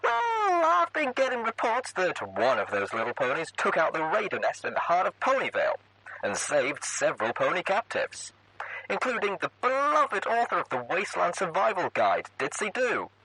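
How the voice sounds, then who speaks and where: An upbeat pony radio DJ of a post-apocalyptic storybook , played by a man, pitched up high and showy. The announcer patter swings widely in pitch, in a dry recording. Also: wildly swooping pitch, moderate pace, sharp tone, husky, dry recording, exaggerated voice, poor audio. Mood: announcing. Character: upbeat male pony radio DJ, smooth wasteland broadcaster.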